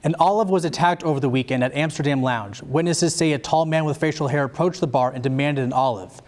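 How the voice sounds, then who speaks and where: Young American male news anchor, higher-pitched. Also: quick pace. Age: young adult. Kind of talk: scripted satirical newscast.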